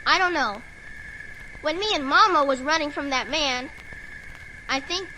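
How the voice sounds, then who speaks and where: A woman's voice: sits high, unhurried, pushed and bright, slight background noise, rough recording. A woman voicing a sweet, earnest little boy with a childlike voice in an audio horror drama. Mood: uneasy. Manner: simple, earnest child's talk.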